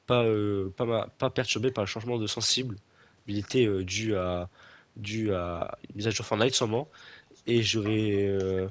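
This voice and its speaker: A man's voice: fairly low pitch, fairly even intonation, unhurried. A young man with a stuffy nose from a cold, chatting casually and teasing his friend while playing a video game, rambling with lots of fillers and restarts. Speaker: gaming video creator. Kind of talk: casual gameplay chat with a friend.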